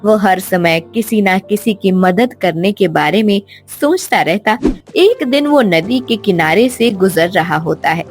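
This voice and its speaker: A woman reads a scripted children's story. Her pitch is mid-range and her pace unhurried, with background music running beneath the narration. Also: animated.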